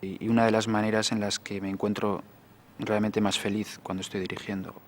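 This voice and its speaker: A male conductor recording a chatty, relaxed video diary. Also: lowish voice, fast talker, a little hiss or hum.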